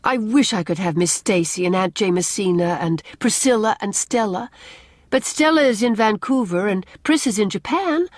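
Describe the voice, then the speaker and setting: Woman acting a dreamy young bride-to-be, animated pitch. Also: moderate pace, dry recording, clean studio sound, lightly acted. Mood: chatty. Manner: expressive, lyrical, lightly teasing. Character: dreamy, teasing young bride-to-be, cheerful and romantic. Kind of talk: audiobook character dialogue.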